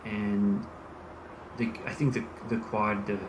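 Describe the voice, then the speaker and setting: A low-pitched American man narrates a role-play farming game, musing and thinking aloud as he plays. A loud vehicle engine drones behind his voice throughout. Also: little pitch movement, slow, background noise. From United States. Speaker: gaming channel host. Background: game engine and vehicle sounds.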